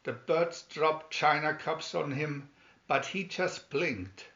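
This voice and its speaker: A man narrating a fairy tale as a scripted storybook, reading aloud deliberately. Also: on the high side, creaky, pushed and bright, reverberant, highs cut off. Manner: deliberate read-aloud delivery.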